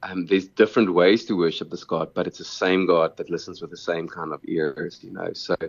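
A man's voice: deep voice, fairly even intonation, a little fast, gravelly, dry recording, highs cut off. A South African man whose first language is Afrikaans, a musician answering interview questions in an earnest way, often repeating words while he thinks.

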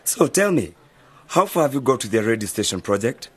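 A man playing a knowledgeable, easygoing mentor in an educational radio drama, explaining things in a measured, articulate way. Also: mid-range voice, sing-song, slightly rough. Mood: friendly, advising. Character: knowledgeable, easygoing male media mentor advising young friends.

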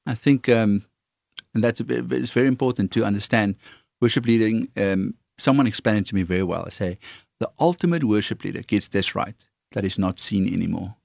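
A man with an Afrikaans accent who trains worship leaders, talking earnestly and haltingly with many fillers. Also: on the low side, creaky, a little mellow, dry recording, studio quality. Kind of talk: casual conversation on faith.

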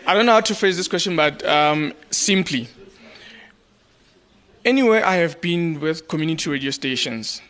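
Higher-pitched South African man, hesitant. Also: normal speed, a bit bright. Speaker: audience member asking a question. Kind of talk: informal spoken question.

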